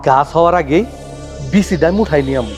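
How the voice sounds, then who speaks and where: A man's voice: fairly high pitch, a little fast, soft background music, natural voice. An actor playing a greedy, scheming village man who bosses his wife. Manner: brusque, bossy with his wife. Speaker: neighbor.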